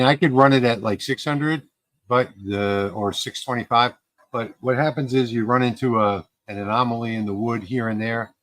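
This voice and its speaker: A man's voice: mid-range voice, a bit bright, dry recording. A man in his fifties hosting a casual livestream, talking in a rambling way with fillers and restarts. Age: 50s. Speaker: livestream host.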